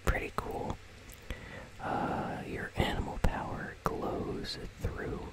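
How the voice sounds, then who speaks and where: A gaming host delivers ASMR commentary in a continuous whisper. The voice is breathy and unvoiced, with no full tone. The delivery is soft and casual, rambling gently from one remark to the next. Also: takes it slowly, poor audio. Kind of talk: ASMR whispered commentary on a game item shop.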